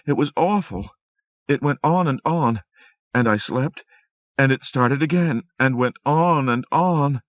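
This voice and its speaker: A man's voice: medium pitch, a little slow, no room sound, highs cut off, pitched above the performer's own voice, natural voice. A man voicing a young woman who has just woken from a nightmare, speaking breathlessly and emotionally. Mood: shaken.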